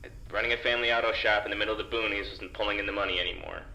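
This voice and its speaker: A man playing a plain-spoken working-class security guard in a naturalistic audio drama, terse, hesitant and dry, reluctantly soft-hearted. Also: fairly low pitch, fairly even intonation, rapid-fire, echoey room, rough recording.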